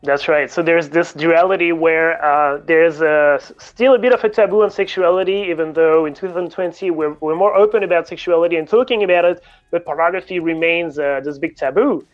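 A man's voice: a little high, a little fast, dull audio, faint music behind. A man from France with a French accent, a native French speaker, answering interview questions as a coach in a candid, reflective way. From France, grew up in Paris. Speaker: guest, coach.

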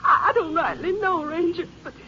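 A woman's voice: very high-pitched, normal speed, background noise. A tearful girl. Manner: pleading, tearful. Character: distraught young woman shop clerk, tearful. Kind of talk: 1950s radio drama acting. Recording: dull lo-fi old radio recording.

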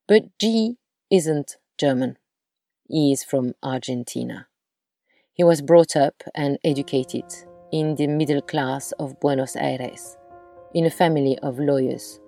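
A woman hosting a storytelling podcast, reading scripted narration in a measured, deliberate way that slows on key words. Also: extremely low pitch, creaky, mellow, faint music behind.

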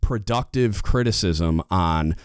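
A man with a loud, booming voice giving casual leadership advice as a podcast host, getting louder when fired up. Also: takes it slowly, clear, resonant tone, no room sound, studio quality. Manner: gets louder when fired up, rambling restarts.

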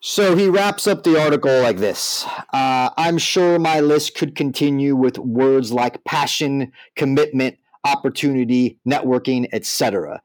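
A man's voice: medium pitch, no room sound, low-quality recording. A man hosting a podcast, speaking to listeners and reading out prepared material. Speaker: podcast host.

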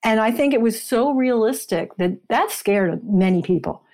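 A woman speaking as an interview guest, explaining and telling a story in deliberate, short phrases. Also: a little high, lively intonation, a little mellow.

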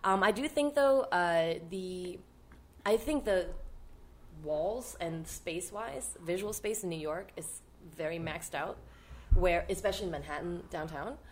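A woman's voice: lowish voice, unhurried, slight background noise. A young adult woman from Hong Kong, a guest artist chatting animatedly on a podcast. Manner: animated storyteller who laughs and acts out quoted people. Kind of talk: casual podcast interview.

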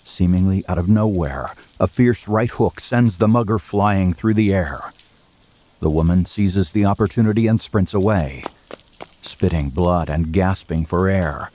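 A man narrating an audio drama from a script in a measured, serious, literary manner. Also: sits low, mellow.

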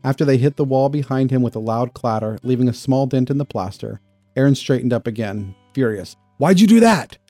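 Male story narrator, soft dark tone, middle pitch, expressive scripted read, close dry recording. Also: brisk, no room sound, soft background music.

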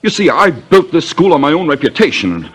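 An American actor playing a touchy, evasive music school owner in a 1950s radio mystery, defensive and blustering. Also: male voice, fairly high pitch, big pitch swings, brisk, breathy edge. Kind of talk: 1950s radio detective drama acting.

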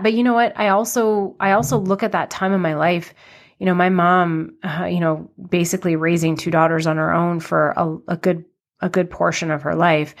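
A woman in her thirties hosting her podcast, telling her life story in a chatty, rambling way, slightly nasal from a cold. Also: fairly low pitch. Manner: chatty, rambling with many fillers and restarts. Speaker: podcast host. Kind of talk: casual personal storytelling.

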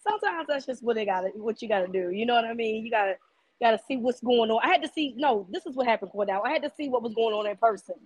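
A woman co-hosting a sports podcast, talking in a hoarse, raspy voice in casual, animated chat and often repeating herself as she thinks aloud. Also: a little high, fast talker, no echo, poor audio.

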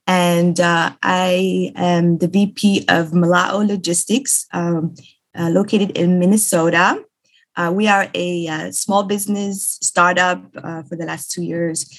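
A woman's voice: fairly low pitch, level tone, takes it slowly, low-quality recording. A woman from Somalia introducing herself as a panelist, eager, with many hesitations. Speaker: business executive, panelist.

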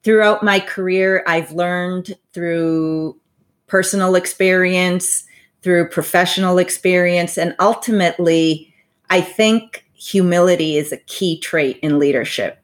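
A woman in her forties, a financial advisor, giving thoughtful, measured interview answers with frequent asides. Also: lowish voice, steady tone. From United States, parents from Bolivia.

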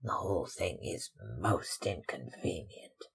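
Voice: low-pitched, animated, takes it slowly, gravelly, slightly rough, voice pushed down, exaggerated voice. A narrator voicing a fretful, calculating older mother, a faded stage actress, in an affected, sighing manner for an audiobook. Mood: disapproving, calculating. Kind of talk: audiobook character acting.

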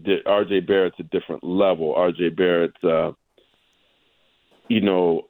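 A man talking basketball casually as a sportswriter guest, haltingly, repeating words and tagging his points with "right?". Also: low voice, level tone, darkish tone, dry recording, low-quality recording.

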